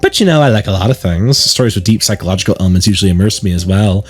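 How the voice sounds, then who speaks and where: A man voicing a gentle, reserved schoolgirl in a visual novel, thoughtful and articulate. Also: fairly low pitch, expressive, racing, breathy edge, soft background music, pitched below the performer's own voice. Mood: passionate. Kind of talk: reading visual novel dialogue.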